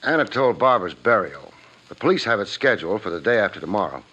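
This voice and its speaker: A man's voice: fairly low pitch, very animated, fast talker, bright, forceful tone, full character voice. A man playing a wry, hard-boiled private investigator in a 1950s radio drama, with a clipped tough-guy delivery. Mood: measured. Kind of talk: 1950s radio detective drama acting and narration. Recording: muffled 1950s radio recording with music.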